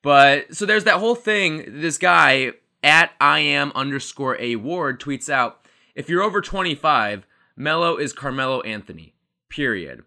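A man's voice: on the high side, animated, unhurried, vocal fry, sharp tone. A man in his twenties co-hosting a casual sports podcast, chatty and rambling, laughing at himself. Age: 20s. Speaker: podcast co-host.

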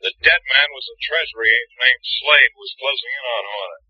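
An actor playing a brisk, no-nonsense male police lieutenant in an old radio drama, speaking curtly and in a businesslike way. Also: medium pitch, slightly rough, no room sound, natural voice. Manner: curt, businesslike. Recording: muffled old radio broadcast.

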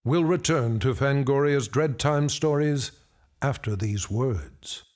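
A man's voice: mid-range voice, slow, darkish tone. A man announcing a radio drama in a scripted, formal credits read. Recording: music under the voice.

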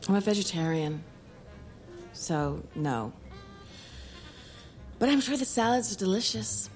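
An actress playing a blunt, free-spirited young heiress in a screen drama, talking in a wry, unguarded way. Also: female voice, mid-range voice, animated, a little slow, darkish tone, soft background music, close to an everyday voice. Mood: dry, defiant. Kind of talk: naturalistic screen drama acting.

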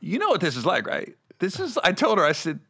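A man's voice: high voice, a little fast, slightly rough. A man, a comedian, telling stories in a casual chat and acting out the people he quotes. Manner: animated storyteller who acts out the people he quotes.

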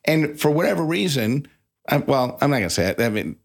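A man's voice: on the high side, sing-song, fairly quick, vocal fry. A man co-hosting a podcast in casual conversation, often rephrasing himself as he goes. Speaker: podcast co-host.

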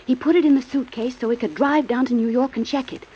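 A husky, pleading femme fatale. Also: female voice, high voice, fast talker, mellow. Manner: pleading, breathy. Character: scheming young woman pleading with her lover. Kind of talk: 1940s radio acting. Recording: dull old radio recording.

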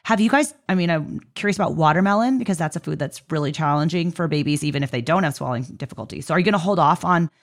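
A woman interviewing in an informal, conversational way, animated and encouraging. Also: sits low, quick pace, hardly any pauses. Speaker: podcast interviewer.

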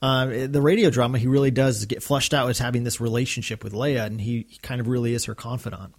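A man co-hosting a casual discussion podcast, talking in a halting, enthusiastic fan's way. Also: mid-range voice, fairly even intonation. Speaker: podcast co-host.

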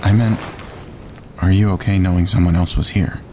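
A man playing a steady, laconic wildlife photographer on a winter camping trip, understated and calm, in a naturalistic audio drama. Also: deep voice, moderate pace, natural voice. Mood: calm, practical. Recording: clear outdoor ambience noise behind.

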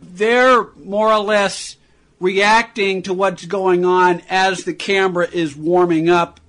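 High-pitched male interview host. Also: steady tone, slow pace, a bit bright. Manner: guiding, checks facts with short tag questions. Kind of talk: conversational interviewing.

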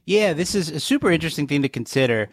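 A man's voice: a little high, lively intonation, fast, husky, no room sound, studio quality. A man co-hosting a podcast in casual, conversational chat. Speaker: podcast co-host.

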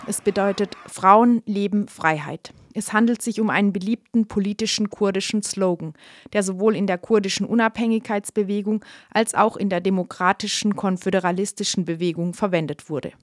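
A woman reading a scripted news report in an even, informative way. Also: mid-range voice, dry recording, studio quality. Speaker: reporter narrator. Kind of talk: scripted narration.

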